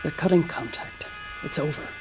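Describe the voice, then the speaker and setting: An actress playing a stoic, resigned mission commander in an audio drama, clipped, restrained and matter-of-fact, with a husky voice. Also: female voice, low voice, normal speed, music in the background, close to an everyday voice. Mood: resigned, flat.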